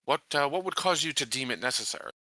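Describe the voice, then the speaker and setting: A man's voice: fairly high pitch, animated, fast talker, bright, forceful tone, dry recording, voice pushed up. A man playing a courteous, cautious colony leader in a tabletop game, speaking formally and politely with hesitations.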